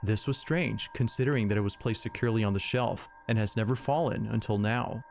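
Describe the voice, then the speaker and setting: A man reading scripted narration in an even, storytelling manner. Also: lowish voice, fairly quick, vocal fry, soft background music.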